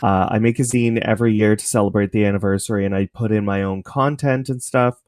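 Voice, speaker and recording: A man's voice: fairly low pitch, fairly even intonation, quick pace, darkish tone, no room sound, clean studio sound. A man, speaking as a guest in a casual interview, rambling thoughtfully. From Canada. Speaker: interview guest, podcast creator. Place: home basement apartment, at a computer desk.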